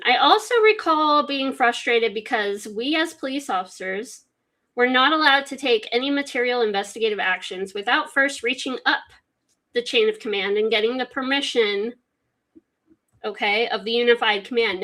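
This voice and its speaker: A woman's voice: a little high, lively intonation, normal speed, sharp tone. A woman hosting a casual true-crime talk show, reading a court affidavit aloud and commenting on it in a chatty way. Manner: chatty, reads aloud then comments with swearing asides. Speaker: podcast host.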